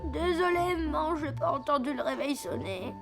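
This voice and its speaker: A woman's voice: high-pitched, moderate pace, music in the background. A woman voicing an eager, cheeky, carefree young schoolboy in a cartoon. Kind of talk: French animated series dubbing.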